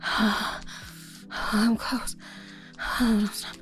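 A woman voicing a flirty, teasing adult server in an intimate audio roleplay, talking in a breathy whisper full of endearments. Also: fairly high pitch, flat delivery, very slow, a bit bright, soft background music. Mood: breathless.

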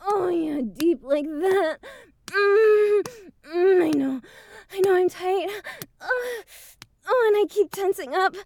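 A woman playing an eager adult university student in an intimate audio roleplay, breathy and pleading. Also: very high voice, a little mellow, a little hiss or hum, exaggerated voice.

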